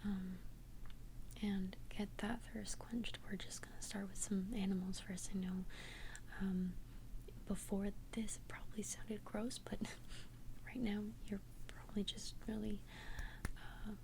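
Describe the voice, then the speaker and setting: A woman playing a protective vampire best friend in a whispered ASMR roleplay, speaking breathily in a soothing, halting, reassuring way. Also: mid-range voice, level tone, a little mellow, slight background noise, lightly acted, low-quality recording. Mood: soothing, encouraging.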